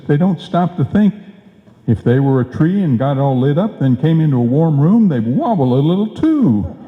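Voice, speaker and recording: A man's voice: a little high, sing-song, moderate pace. A man playing a folksy, kindly, wry neighbor in a live old-time radio comedy. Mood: wry, teasing. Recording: dull, echoey hall stage recording.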